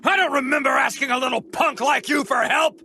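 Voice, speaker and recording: A man's voice: very high voice, a little fast, creaky, a little hiss or hum, exaggerated voice. An actor voicing a cocky, hot-headed, boastful alien warrior man who is easily riled, in an English anime game dub. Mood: furious. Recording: loud music over the voice.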